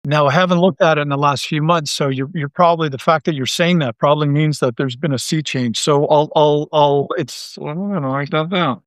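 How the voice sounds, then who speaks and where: Guy on a podcast, voice a bit high, casual and self-deprecating, doubles up words, very dry sound. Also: fairly even intonation, fairly quick, hardly any pauses, no echo. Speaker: interview guest, audio drama creator.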